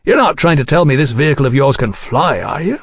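A man's voice: a little high, animated, fast, slightly rough, dry recording, studio quality. Man as a sceptical, learned English scientist. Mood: wry, conceding.

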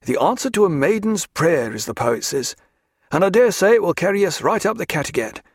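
A man performs a superstitious old-time sailor for an audiobook. He is dogged and foreboding but respectful, speaking in emphatic nautical phrases. His voice is raised and very animated, in a dry recording. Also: sits high, fairly quick, slightly rough, no room sound, pitched above the performer's own voice, exaggerated voice. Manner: emphatic, old-fashioned nautical speech with proverbs. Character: dogged, superstitious, gloomy older sailor, deferential to his captain.

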